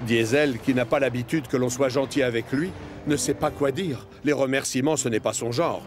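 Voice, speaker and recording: A man narrating a children's animated story from a script. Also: mid-range voice, normal speed, background noise. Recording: music behind the voice.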